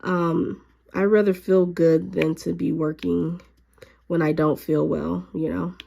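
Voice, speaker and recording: Slightly raspy woman chatting while crafting. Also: on the low side, slow pace. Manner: chatty, relaxed, frequent fillers. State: a little sick, raspy. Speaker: hobby vlogger. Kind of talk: casual crafting chat.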